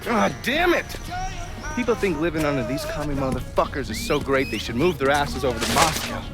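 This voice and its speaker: A man's voice: a little high, animated, brisk, music in the background. An actor playing a cynical, hot-tempered male intelligence operative in a video game, brash and talkative. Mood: angry ranting. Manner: brash, foul-mouthed, talkative.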